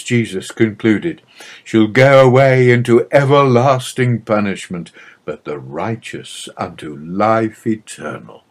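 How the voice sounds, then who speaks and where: A man reading a scripted religious narration aloud in a solemn, measured manner. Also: on the low side, a little mellow.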